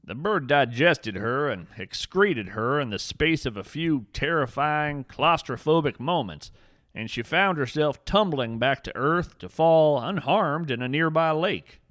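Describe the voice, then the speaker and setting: A man's voice: on the high side, animated, measured pace, a bit bright, no room sound, studio quality. A man playing an earnest, folksy naturalist who narrates scripted fiction podcast tales in a dramatic, wryly whimsical, literary way. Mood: grave, wry.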